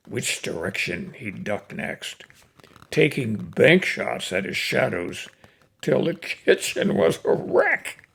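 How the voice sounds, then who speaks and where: Casting: older male poetry reader, folksy and unhurried, slow delivery, gravelly fry, mid-range pitch. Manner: folksy, unhurried, with pauses between phrases. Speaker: host reading cowboy poetry. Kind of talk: casual introduction and expressive poem recitation.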